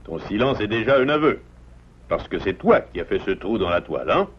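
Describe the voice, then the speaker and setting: Husky, animated father beaver. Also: on the low side, fairly quick, highs cut off. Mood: scolding. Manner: scolding, expressive, exasperated. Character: stern, worried father beaver, middle-aged painter. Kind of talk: cartoon dubbing in French.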